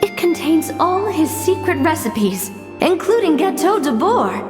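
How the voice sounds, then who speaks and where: Game friend, young woman: high, animated, explanatory; echoey hall, loud music. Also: unhurried, full character voice. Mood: eager, impressed. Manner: expressive, explanatory. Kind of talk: video game dialogue.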